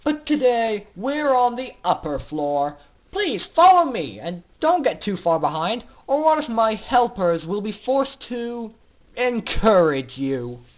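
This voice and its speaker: A man's voice: high voice, very animated, slow pace, lo-fi sound, voice pushed up, full character voice. A man voicing a sadistic pegasus mad scientist in a dramatic story reading, commanding and theatrical with mocking politeness. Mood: mock-polite, menacing. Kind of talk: dramatic fan fiction reading.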